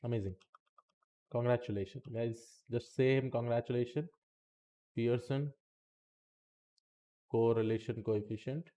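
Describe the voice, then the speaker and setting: A man's voice: medium pitch, steady tone, a little slow, long pauses, dark tone. A man teaching statistics in a live-streamed lesson, chatty and talking to the chat between points, saying okay often.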